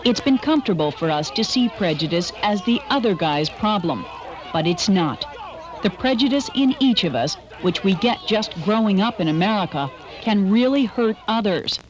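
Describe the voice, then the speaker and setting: A woman narrating a short documentary in a measured, earnest voice-over. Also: medium pitch, rough recording. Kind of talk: scripted narration. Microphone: studio voice-over.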